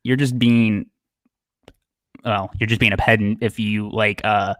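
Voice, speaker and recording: A man's voice: fairly low pitch, little pitch movement, unhurried, a bit bright, no echo, studio quality. A man talking casually as a podcast guest, self-deprecating, with lots of fillers and restarts. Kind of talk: casual interview chat.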